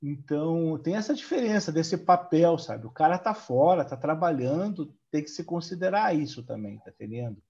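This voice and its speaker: Homem falando solto, áudio abafado de chamada. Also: male voice, a little high, expressive, moderate pace, gravelly, few pauses, mellow, highs cut off. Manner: loose, repetitive, ends lines with "sabe?" and "tá entendendo?". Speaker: psychologist guest on a live panel. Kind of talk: casual panel discussion. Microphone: video call.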